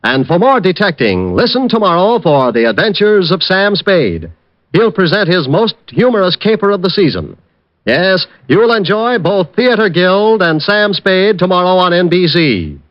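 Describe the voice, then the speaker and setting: A man's voice: high voice, big pitch swings, normal speed, dry recording. An American man announcing from a script in the polished style of mid-century radio. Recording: dull old radio recording, music behind. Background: music.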